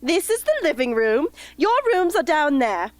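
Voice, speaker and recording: A bubbly, fussy female escort for a radio play. Her voice is extremely high and swoops animatedly through theatrical, chirpy lines, recorded close and dry. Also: sing-song, normal speed, no room sound. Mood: bright, bossy. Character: bubbly, fussy, upbeat woman escort to young tributes. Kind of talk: amateur radio drama acting.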